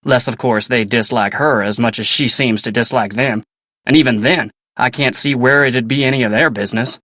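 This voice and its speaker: An actor playing a polite, earnest young ranch hand in a video game, with a folksy American Western drawl and a plainspoken way of talking. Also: male voice, medium pitch, normal speed, a bit bright, dry recording, studio quality, natural voice. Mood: flustered. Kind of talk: video game character dialogue.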